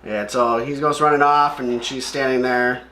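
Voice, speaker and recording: A man hosts a comic read-along in an echoey room, describing things in a casual, offhand way. His pitch is middling, and he comments freely with his own opinions. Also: steady tone, normal speed, bright, forceful tone, a little hiss or hum.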